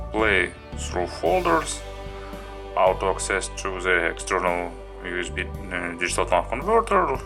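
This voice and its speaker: Deep, slow-paced man reviewing a gadget. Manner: halting, listing items one by one. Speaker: product reviewer, host. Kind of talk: unscripted product review walkthrough.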